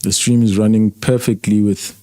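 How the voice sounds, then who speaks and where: A man's voice: lowish voice, level tone, moderate pace, mellow, no echo. A man hosting a livestream, rambling and sarcastic as he reacts to a video with his chat. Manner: rambling, sarcastic, loosely paced. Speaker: livestream host.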